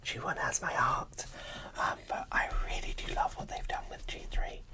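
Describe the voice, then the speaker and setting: A host speaking in a breathy whisper into a close microphone, giving casual, enthusiastic ASMR unboxing commentary. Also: moderate pace, bright, forceful tone.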